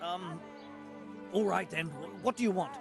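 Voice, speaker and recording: A man's voice: high-pitched, a little slow, gravelly, over music. An actor playing a cowardly, hesitant henchman in a video game, stammering and pleading. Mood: flustered.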